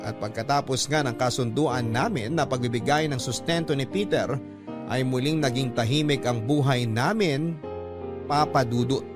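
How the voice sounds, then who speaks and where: A man's voice: medium pitch, moderate pace. A man reading a listener's love-story letter aloud in first person, in earnest radio-drama narration. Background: background music.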